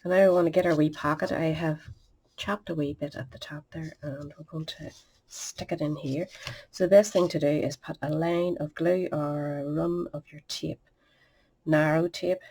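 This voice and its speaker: A woman's voice: sits low, steady tone, moderate pace, warm tone. A sniffly middle-aged woman, chatting through a step-by-step card-making demonstration and muttering asides under her breath. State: sniffly with a cold. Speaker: craft tutorial host.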